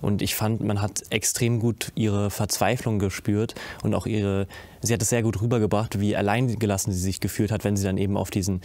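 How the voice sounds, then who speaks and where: Young guy on a panel, lowish flat voice, lots of uhs and restarts, dry. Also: brisk, dry recording. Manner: intense, many hesitations and restarts. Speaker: youth panelist. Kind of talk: panel discussion. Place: indoor studio set with curtains.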